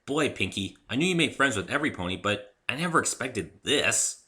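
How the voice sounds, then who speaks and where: A man's voice: mid-range voice, sing-song, a little fast, sharp tone, voice pushed up. A man voicing a brash, sporty, boyish female cartoon pegasus with a blunt, cocky manner in a story reading. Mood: disbelieving.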